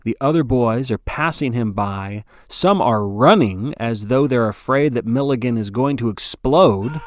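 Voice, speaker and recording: A man playing an excitable, fawning sports broadcaster in a dystopia, calling the action in a theatrical, grandiose manner. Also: mid-range voice, measured pace, no room sound, natural voice. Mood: dramatic. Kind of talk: play-by-play sports commentary.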